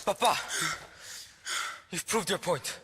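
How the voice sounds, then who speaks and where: A man's voice: high-pitched, slow, very noisy. A man playing a defiant, compassionate thirteen-year-old boy in an emotional game cutscene. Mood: pleading, defiant.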